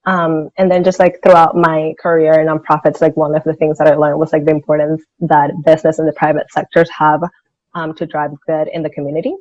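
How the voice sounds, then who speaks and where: A woman's voice: sits low, a little mellow, no room sound, highs cut off. A woman in her thirties from Venezuela, answering interview questions conversationally and informally, often saying "like". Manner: informal, peppers sentences with "like". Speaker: interview guest, senior financial analyst.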